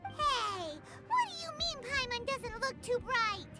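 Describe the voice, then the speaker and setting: Squeaky little fairy sidekick, cheeky, bouncy, chirpy, game cutscene. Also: female voice, animated, slow pace, over loud music, exaggerated voice. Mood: excited. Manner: chirpy, talks of herself in the third person. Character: tiny excitable floating fairy companion, cheeky. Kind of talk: video game voice acting.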